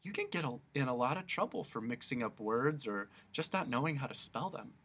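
A man voicing a timid, polite young boy who speaks softly and hesitantly. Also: mid-range voice, big pitch swings, brisk, husky, heavily acted. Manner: soft and hesitant.

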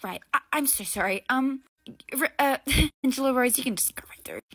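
A woman's voice: high voice, moderate pace, a bit bright, no echo, clean studio sound, pitched above the performer's own voice. A woman voicing a nervous party doorman in a game roleplay who stammers through apologies. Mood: flustered.

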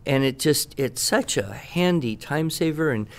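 A man's voice: a little high, lively intonation, measured pace. Enthusiastic middle-aged American man, emphatic talker. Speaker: podcast guest expert and writing teacher. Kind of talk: conversational product talk on a podcast.

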